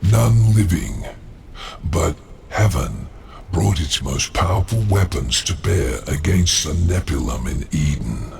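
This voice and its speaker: An actor playing a knowing, ominous otherworldly advisor in a video game, with measured, formal delivery. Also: male voice, low voice, lively intonation, a little mellow, a little hiss or hum, full character voice. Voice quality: gravelly. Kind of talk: dramatic video game acting.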